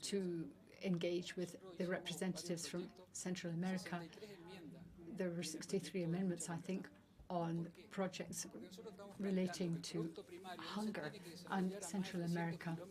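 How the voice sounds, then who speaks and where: A woman interpreting formal meeting speeches live, in halting, phrase-by-phrase English delivery. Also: fairly low pitch, flat delivery. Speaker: simultaneous interpreter. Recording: loud crowd murmur behind.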